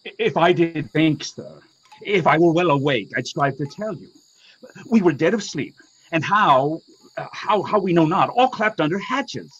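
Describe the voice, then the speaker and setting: A slightly muffled recording of a man playing an amazed, excitable ship's boatswain. His voice is husky and leaps around in pitch, halting through Shakespearean radio dialogue. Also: full character voice. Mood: bewildered, excited. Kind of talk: Shakespearean radio play acting.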